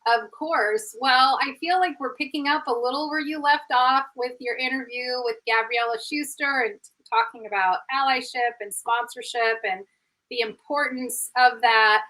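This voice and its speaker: A woman's voice: high-pitched, normal speed, pushed and bright, low-quality recording. A middle-aged woman hosting a live interview as a performance coach, effusive and full of praise.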